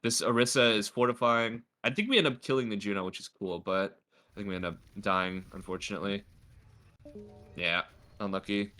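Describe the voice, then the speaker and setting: A man's voice: on the low side, brisk, sharp tone, faint music behind. A man coaching a player through a game replay in a casual, chatty way, with a slightly stuffy nose. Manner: chatty, lots of filler words. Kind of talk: casual gameplay review.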